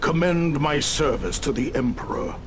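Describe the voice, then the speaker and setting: A man's voice: on the high side, animated, moderate pace, close to an everyday voice. A man playing a loyal, dignified veteran warrior in a video game, speaking formally and earnestly. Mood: solemn farewell. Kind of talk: dramatic video game cutscene acting. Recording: clearly noisy background.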